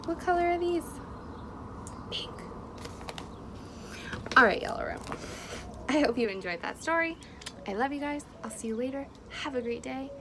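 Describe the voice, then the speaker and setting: A woman teacher doing an interactive picture-book read-aloud for young children, expressive and encouraging. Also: sits high, normal speed, long pauses. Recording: clearly noisy background.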